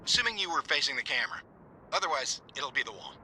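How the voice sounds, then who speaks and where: An actor playing a brusque, eccentric amateur paranormal investigator in a video game, gruff and wry over a two-way radio. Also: male voice, mid-range voice, big pitch swings, quick pace, pushed and bright. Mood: brisk, wry. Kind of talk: naturalistic game voice acting. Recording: noisy two-way radio transmission.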